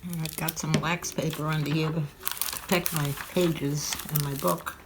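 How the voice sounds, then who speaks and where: Low-voiced older woman, slightly stuffy. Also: little pitch movement, a little slow. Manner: chatty, thinking aloud while working. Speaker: craft tutorial host. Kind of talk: relaxed craft demonstration. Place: craft table at home, indoors. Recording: audible crinkling craft noise.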